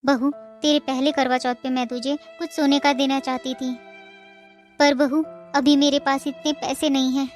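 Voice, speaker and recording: A woman voicing a caring middle-aged mother-in-law in a cartoon, speaking in a warm, reassuring, motherly way. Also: high voice, flat delivery, moderate pace, faint music behind, close to an everyday voice. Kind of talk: Hindi cartoon dubbing.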